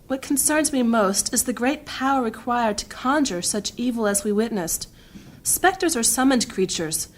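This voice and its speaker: A woman playing a guarded, grave noble mage in a fantasy audio drama, speaking formally and deliberately. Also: fairly high pitch, animated. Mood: grave, troubled.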